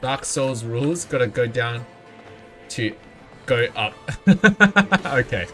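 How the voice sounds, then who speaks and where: A man's voice: medium pitch, expressive, slow pace, a bit bright. A man doing casual, playful live video game commentary, talking to himself and his viewers. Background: video game music.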